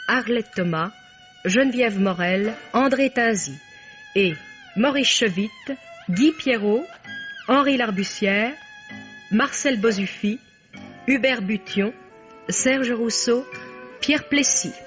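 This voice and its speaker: Slow, formal vintage radio announcer over music. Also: mid-range voice, fairly bright tone. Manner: measured, list-like delivery. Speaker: announcer reading credits. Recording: dull vintage radio recording.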